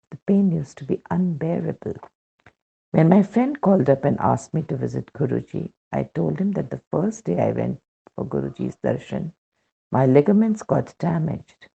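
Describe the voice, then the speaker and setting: A very husky, heavily creaky male voice with an Indian accent, reading a scripted testimonial. The delivery is measured and deliberate, with a dark, mellow tone. It sits in a slightly muffled, lo-fi recording. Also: on the high side. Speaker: reader of devotional testimonials. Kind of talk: scripted narration, reading a first-person testimonial aloud.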